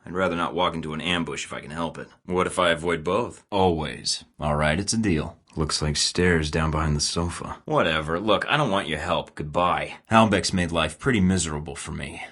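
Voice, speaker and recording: A cocky young secret agent with a very low, deep voice, speaking in dry, clipped lines full of sarcasm. He sounds unbothered and sure of himself, as in a story-driven spy game. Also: a little fast, few pauses, a bit bright, husky, heavily acted. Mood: cool. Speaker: game character.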